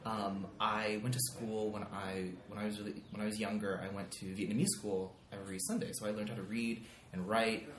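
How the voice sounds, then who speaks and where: A man's voice: fairly low pitch, level tone, brisk, few pauses, roomy sound, a crowd in the background. A man guesting on a podcast, chatting casually with many likes and ums, recorded in a living room. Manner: rambling, lots of likes and ums. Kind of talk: casual chat.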